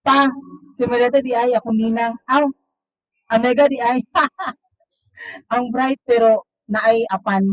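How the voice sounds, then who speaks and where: A woman's voice: a little high, steady tone, slow, long pauses, dry recording. A woman playing a teasing, chatty teenage student in an amateur radio drama, with breezy banter and a Filipino accent. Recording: muffled lo-fi recording.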